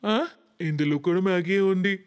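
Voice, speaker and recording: An expectant, hungry uncle from a storybook, played by a man, talks. His voice is fairly high, with a long echo. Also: normal speed, clear, resonant tone, lightly acted. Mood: puzzled. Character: hungry, expectant elder male relatives at dinner. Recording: long reverberant hall echo.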